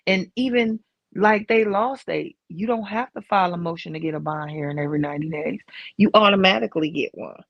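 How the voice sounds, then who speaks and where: A woman's voice: lowish voice, fairly quick, vocal fry, no echo, muffled recording. An American woman talking emphatically in a casual panel chat, repeating her points to drive them home. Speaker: panelist on a commentary livestream. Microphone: video-call livestream.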